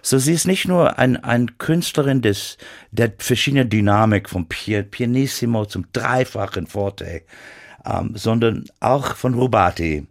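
A man's voice: mid-range voice, animated, gravelly. A man whose first language is English, with an American accent, speaking German as a radio interview guest and often stopping to search for words. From United States. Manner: halting, searching for German words, frequent restarts. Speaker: guest pianist.

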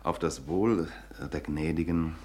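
Suave old-school gentleman, really deep voice, gallant and flattering, radio play style. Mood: polite, flattering. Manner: suave, gallant, hesitant pauses and murmurs. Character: courtly, flattering gentleman guest and old acquaintance. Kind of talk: mid-century German radio play acting.

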